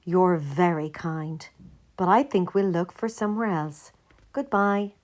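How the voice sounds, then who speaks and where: A woman voicing a kindly, protective male gander in a children's story, calm and courteous. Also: lowish voice, lightly acted. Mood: polite, apologetic. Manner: measured, courteous.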